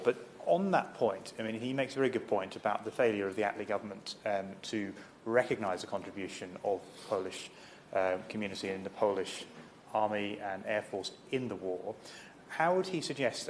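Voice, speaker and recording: A man in parliament rises to intervene in the debate, speaking in a lowish voice. He is halting, with frequent fillers as he gathers his point. A faint hum of noise sits behind him.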